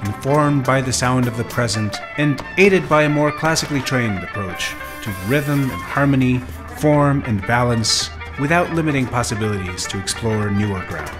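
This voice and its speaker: A man from New York narrating a scripted voice-over about his band in a measured, even way. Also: mid-range voice, low-quality recording. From grew up in New York City. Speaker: bandleader and composer. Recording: voice with loud music behind.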